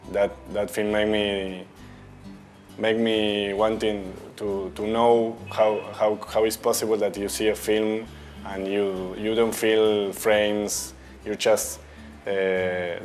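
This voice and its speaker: Flat-voiced halting man, low tone. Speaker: filmmaker. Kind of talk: interview testimony.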